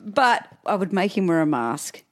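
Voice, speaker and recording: A woman's voice: lowish voice, sing-song, moderate pace. A woman co-hosting a podcast, chatting in a playful, self-mocking way. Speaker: podcast co-host. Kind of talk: casual chat.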